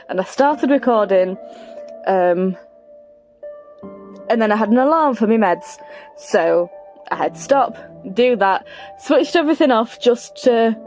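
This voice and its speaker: Unhurried woman gaming commentator. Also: a little high, darkish tone. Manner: rambling, self-deprecating. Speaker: gaming video creator. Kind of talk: casual playthrough commentary.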